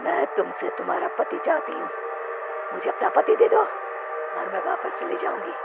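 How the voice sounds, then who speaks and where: A woman's voice: mid-range voice, very animated, moderate pace, over loud music. Woman voicing a whispery menacing ghost bride. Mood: eerie, demanding. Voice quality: whispery, breathy. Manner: eerie, demanding. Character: menacing veiled bloody ghost bride demanding a husband. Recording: tinny, noisy band-limited audio.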